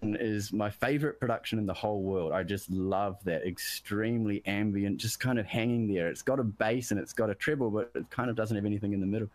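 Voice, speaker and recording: A deep-voiced man, a musician guest from New Zealand, chatting casually about production and often restarting his sentences. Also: brisk, few pauses, no room sound. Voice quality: deep bass voice. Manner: rambling, self-interrupting, searching for words.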